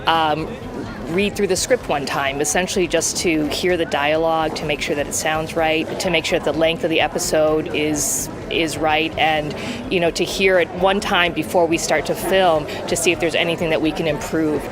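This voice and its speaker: A man explaining production work in an interview, in a halting, step-by-step way. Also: fairly high pitch, fairly even intonation, a crowd in the background. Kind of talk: explanatory behind-the-scenes interview. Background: music.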